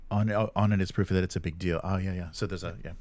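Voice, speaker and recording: A man co-hosting a gaming news show in casual chat, talking in a halting, rambling way as he searches for words. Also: deep voice, fairly even intonation, darkish tone, no echo. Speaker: co-host of a weekly tabletop gaming news show.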